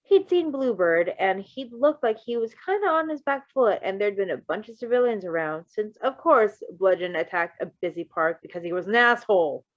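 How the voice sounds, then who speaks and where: A woman reading fan fiction aloud with casual asides, expressive and emotionally invested in the story. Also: mid-range voice, normal speed, hardly any pauses, no echo, highs cut off.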